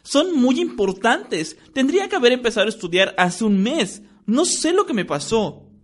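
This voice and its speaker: A man's voice: extremely high pitch, big pitch swings, normal speed, a bit bright, pitched above the performer's own voice, full character voice. A man reading a story, voicing a bossy, studious schoolgirl who lectures her friends. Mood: exasperated. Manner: insistent, lecturing. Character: bossy studious schoolgirl, anxious know-it-all.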